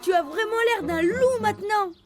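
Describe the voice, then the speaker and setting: A woman's voice: very high-pitched, moderate pace, a loud crowd. A woman voicing an eager, bossy young male leopard cub in a children's cartoon, playful and insistent. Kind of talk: animated children's cartoon acting. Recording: music behind the voice.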